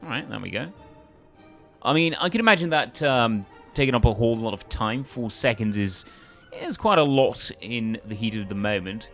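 A man's voice: medium pitch, expressive, moderate pace, fairly bright tone. A man hosting a let's play, commenting casually in a hesitant, rambling way. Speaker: gaming video host. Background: faint game music.

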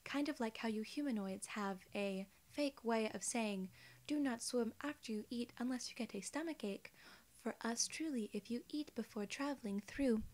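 A soft-spoken woman in her twenties doing an ASMR role-play as a polite alien gatekeeper, with measured, formal phrasing, close to the microphone. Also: a little high. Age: 20s. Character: young, polite, friendly female alien gatekeeper. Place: small indoor room.

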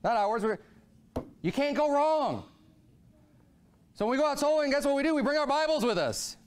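A man's voice: very high voice, normal speed, a little hiss or hum. A man in his thirties preaching a sermon from a church pulpit, his voice climbing and dropping as he drives his points home. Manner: animated preaching, voice rising and falling a lot. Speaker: pastor. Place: church sanctuary, speaking at a pulpit.

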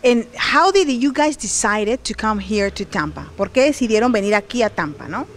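A woman's voice: medium pitch, expressive, fairly quick, slight background noise. A woman hosting an interview, chatty and upbeat, switching languages to repeat her questions into a handheld mic outdoors. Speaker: host and interviewer. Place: outdoors on the porch of a large unfinished house.

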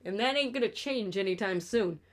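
A woman's voice: mid-range voice, fairly quick. A young woman from New York State with an upstate New York accent, chatting on a casual stream from her bedroom, blunt and ranting, swearing freely.

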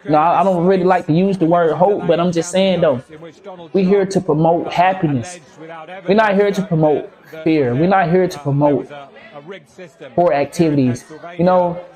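A man's voice: fairly high pitch, normal speed, pauses often, mellow. A man in his thirties streaming games and giving a rambling, preachy motivational talk to his viewers. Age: 30s. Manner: preachy, repeats himself, addresses viewers as y'all. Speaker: game streamer. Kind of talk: casual motivational talk to a live chat.

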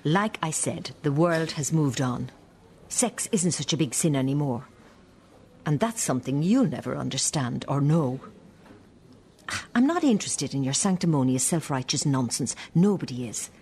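A woman's voice: low-pitched, animated, moderate pace, darkish tone, a little hiss or hum. An actress with an Irish accent playing an embittered middle-aged mother in a radio play, cutting and confrontational. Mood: demanding.

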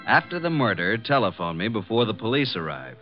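An actor playing a breezy, wisecracking detective's assistant, glib and sarcastic, in old-time radio drama style. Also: male voice, on the low side, sing-song, a little fast, soft background music. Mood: wry narration. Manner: glib, sarcastic, smooth-talking. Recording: muffled vintage radio broadcast.

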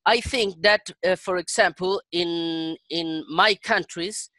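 A middle-aged man with a Chilean accent and Spanish as his native language, chatting in halting English and repeating phrases as he searches for words. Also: sits high, sharp tone, dry recording. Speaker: English learner. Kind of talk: casual conversation practice. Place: indoor room on a video call. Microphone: headset.

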